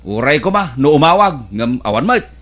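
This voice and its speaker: A man's voice: on the high side, big pitch swings, moderate pace, fairly bright tone. A man voicing a weary, grateful worker abroad. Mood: worried. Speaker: voice actor in an audio drama. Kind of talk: audio drama acting.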